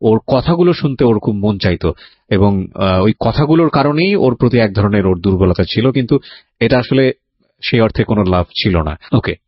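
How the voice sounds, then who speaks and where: A man's voice: fairly low pitch, lively intonation, moderate pace, breathy edge, dry recording. A man with a Bangladeshi accent hosting a radio show, interviewing and commenting for the listeners. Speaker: radio host. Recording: dull, lo-fi broadcast audio.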